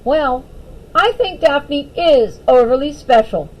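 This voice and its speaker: A sweet and earnest young storybook girl, played by a woman reading aloud, pitched high. She is admiring and plucky, with a very animated lilt. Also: slow, low-quality recording. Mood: earnest, indignant.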